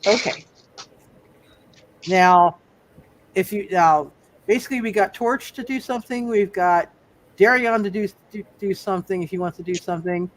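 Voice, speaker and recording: Halting game master over background noise. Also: mid-range voice. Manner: halting, repeats phrases as thinking aloud. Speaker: game master of a tabletop roleplay show. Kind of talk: casual, improvised game refereeing.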